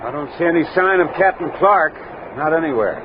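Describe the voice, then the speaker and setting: Dutiful river sergeant man acting, high voice, clipped lines, old radio western, muffled with lots of noise. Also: lively intonation, a little fast, lightly acted. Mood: alert, dutiful.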